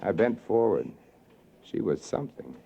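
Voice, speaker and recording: A man's voice: fairly low pitch, sing-song, moderate pace, creaky, a crowd in the background. A man playing a cynical, world-weary newspaper columnist, narrating with wry, brooding literary delivery in 1940s radio noir. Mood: brooding, sardonic. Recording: muffled 1940s radio broadcast, music underneath.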